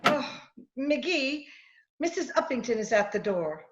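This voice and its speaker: An actress playing a sharp-tongued, long-suffering wife in an old-time radio comedy, wry and teasing, on a muffled, roomy recording. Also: female voice, high voice, very animated, slow pace, exaggerated voice. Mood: exasperated.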